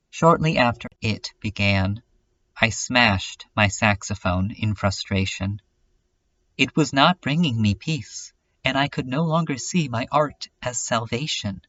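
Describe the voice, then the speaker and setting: Male reader as abandoned cartoon girl, wistful and wry, melancholic monologue, mid pitch, dull lo-fi sound. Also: fairly bright tone. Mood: quiet regret. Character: lonely, weary cartoon girl abandoned in empty town. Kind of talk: first-person dramatic monologue reading.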